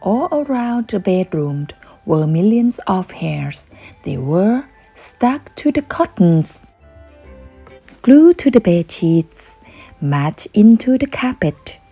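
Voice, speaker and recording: A woman narrates a children's bedtime story with dramatic, deliberate phrasing. Her voice is lively in pitch, slow-paced and a little dark in tone, with music quietly underneath. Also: breathy edge.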